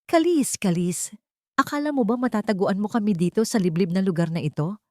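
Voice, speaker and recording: A woman's voice: medium pitch, lively intonation, fairly quick, a little mellow, dry recording, studio quality, close to an everyday voice. A woman voicing a big, cruel male henchman in an audio story, gloating, taunting and threatening. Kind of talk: audio storybook villain dialogue.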